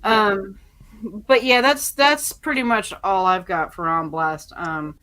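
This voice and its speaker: A woman chatting casually as a podcast panelist, telling stories in an animated, mock-stern way. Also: medium pitch, slow. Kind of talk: casual chat.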